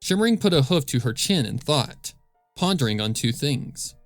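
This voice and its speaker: A man's voice: mid-range voice, big pitch swings, normal speed, no echo, faint music behind. A man narrating scripted fan fiction as a storyteller, close on the microphone.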